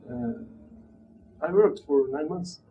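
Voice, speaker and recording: Dark-voiced man with a Turkish accent. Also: high voice, animated, creaky. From Turkey. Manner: halting, searching for words. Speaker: interviewee, immigrant telling personal story. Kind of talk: reflective personal interview testimony. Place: small plain room indoors. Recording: dull muffled sound, background room noise.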